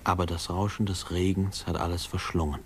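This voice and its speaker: A man's voice: low-pitched, fairly even intonation, brisk, close to an everyday voice. An actor playing a grown man remembering his boyhood, narrating a 1950s radio drama in a reflective, lyrical way. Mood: wistful, reflective. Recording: faintly noisy old radio recording.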